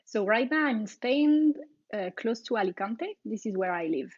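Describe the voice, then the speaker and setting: A woman's voice: on the high side, lively intonation, slightly rough, no echo. French-accented woman, muffled dry sound. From France. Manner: laughs often, halting with fillers. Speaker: guest, aerialist. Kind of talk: casual interview.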